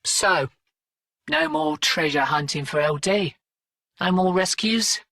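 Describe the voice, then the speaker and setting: A woman's voice: lowish voice, very animated, slow, gravelly, fairly bright tone, dry recording, clean studio sound, recorded from a distance, exaggerated voice. A woman voicing a tough, sardonic, weary streetwise mercenary in a video game, with clipped, slangy delivery. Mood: grave, resolute. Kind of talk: scripted video game character dialogue.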